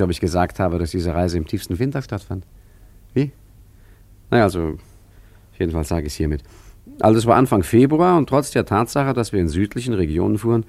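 A man's voice: lowish voice, darkish tone, no room sound. An actor playing an unflappable, rambling male traveller in a German radio play, hesitant and wryly correcting himself. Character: rambling, unflappable, tipsy male traveller recounting his misadventure. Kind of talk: German radio play acting, chatty first-person narration.

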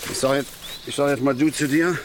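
A male actor as a gruff middle-aged angler in a naturalistic radio play. He talks bluntly in colloquial, clipped phrases and lectures with suspicion. His medium-pitched voice sits in clearly audible outdoor nature noise with birds. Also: steady tone, fairly quick, close to an everyday voice. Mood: gruff, suspicious.

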